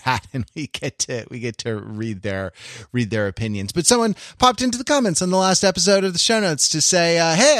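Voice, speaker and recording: A man hosts a casual podcast. His voice sits at a middling pitch in a dry recording. He speaks haltingly, repeating and reworking phrases as he goes. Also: a bit bright, no echo. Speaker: podcast host. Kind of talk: casual conversational podcast hosting.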